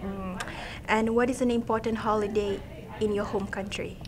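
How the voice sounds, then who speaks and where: A teenage girl hosting a school interview segment, asking questions in a steady, scripted way. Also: mid-range voice, moderate pace, a crowd in the background. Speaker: student interviewer.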